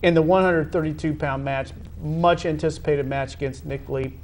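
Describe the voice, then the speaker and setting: A man hosting a sports interview, asking long conversational questions in halting, wordy phrasing with repeated words. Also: on the high side, steady tone, a little hiss or hum. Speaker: interview host.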